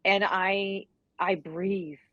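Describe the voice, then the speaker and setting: A woman whose first language is French, a guest telling lively personal stories and acting out her past self. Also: mid-range voice, drawn-out words, no room sound, highs cut off. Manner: animated storyteller, acts out her own past voices. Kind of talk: heartfelt conversational interview.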